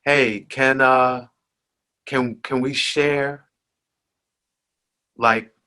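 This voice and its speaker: A man hosting a conversation, walking through local history in an unhurried way, with frequent fillers. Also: medium pitch, fairly even intonation, pushed and bright, smooth. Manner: unhurried, with many fillers like "you know" and "uh". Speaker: host. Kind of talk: slow conversational history talk.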